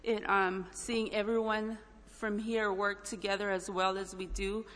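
A woman from El Salvador shares an informal testimony in church. Her medium-pitched voice is halting, earnest and full of feeling. It rings through a hall with long reverb. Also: flat delivery, a bit bright. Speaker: church mission trip member giving a testimony. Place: large indoor church hall, speaking up front.

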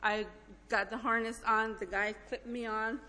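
Halting, emotional woman in an echoey hall. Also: on the high side, little pitch movement, bright, forceful tone. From El Salvador. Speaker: church mission trip member giving a testimony. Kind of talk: informal church testimony. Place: large indoor church hall, speaking up front. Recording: echoey hall with long reverb.

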